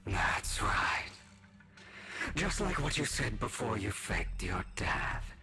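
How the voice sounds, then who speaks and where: An actor playing a man's tempting dark inner self in a video game cutscene, speaking in a menacing, coaxing half-whisper. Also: fairly low pitch, very animated, slow, exaggerated voice. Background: game music.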